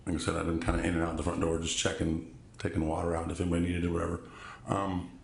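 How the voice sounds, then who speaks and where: Deep gravelly man giving testimony. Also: monotone, reverberant. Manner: halting, polite, full of restarts. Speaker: trial witness. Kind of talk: courtroom testimony. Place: courtroom.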